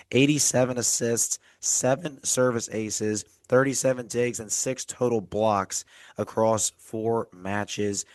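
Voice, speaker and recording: Male podcast co-host, low and monotone, voice a bit off from a cold, dry close sound, methodical stat delivery. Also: smooth, no echo. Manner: reads off stats in an even, measured way. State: getting over a cold. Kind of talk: casual sports recap chat.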